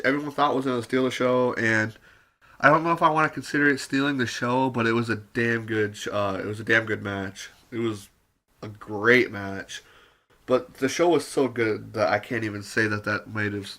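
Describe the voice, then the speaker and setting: A man with a lowish, bright and forceful voice talks through a review off the cuff, looping back on himself with ums and likes and dropping the odd swear. His throat is wearing out, so the tone turns slightly raspy and he coughs now and then. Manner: rambling, filler-heavy, swears casually. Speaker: wrestling show reviewer. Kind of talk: casual unscripted review.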